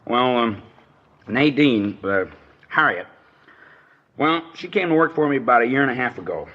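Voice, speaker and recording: A man's voice: mid-range voice, animated, normal speed, natural voice. A man playing a chatty, nervous dance hall proprietor with rambling, slangy speech in an old radio drama. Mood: uneasy. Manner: rambling, folksy, ungrammatical slang. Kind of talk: 1950s radio drama acting, naturalistic. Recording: dull old radio recording.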